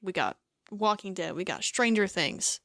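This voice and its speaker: A woman co-hosting a casual podcast chat, animated and opinionated, often laughing. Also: medium pitch, moderate pace. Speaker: podcast co-host.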